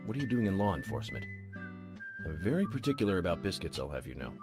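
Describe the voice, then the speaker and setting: A man's voice: low-pitched, very animated, fast talker, music in the background. A man playing an eccentric, fussy federal agent in a video game, effusive and particular. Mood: delighted, fussy.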